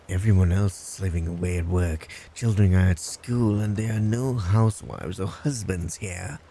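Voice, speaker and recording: A creepy, obsessive next-door stalker, acted by a man for a roleplay audio drama. His voice is low and dark, taking its time as he mocks the listener in blunt, halting asides. There is clear noise behind him. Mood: taunting, sardonic. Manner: sardonic, halting, breaking into giggles and mutters.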